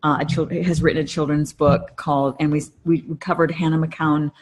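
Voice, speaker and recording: A woman's voice: low voice, flat delivery, normal speed. A middle-aged woman co-hosting a podcast in casual conversation, explaining things earnestly. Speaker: podcast co-host.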